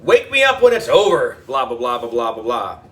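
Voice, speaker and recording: A man's voice: medium pitch, huge pitch swings, a little fast, fairly bright tone, recorded from a distance, voice pushed down. A man voicing a pompous, long-winded mayor in a children's audiobook, declaiming like a politician at a podium. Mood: speechifying. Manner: declamatory, droning political speechmaking. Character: pompous, long-winded male town mayor making speeches.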